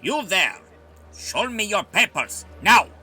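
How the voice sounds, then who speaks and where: A stern, officious Nazi officer acted by a man in an audio drama. The voice sits a little high and swings dramatically as he barks and accuses. Music is clearly heard behind. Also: sing-song, takes it slowly, sharp tone, heavily acted. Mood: stern, accusing. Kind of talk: fan audio drama acting.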